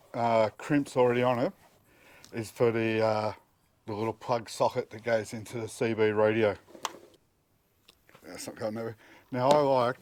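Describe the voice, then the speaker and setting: A man's voice: medium pitch, background noise. A middle-aged man walks through a job step by step in a casual, plain-spoken, practical way. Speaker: DIY presenter. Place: outdoors at a car engine bay and inside the vehicle cab.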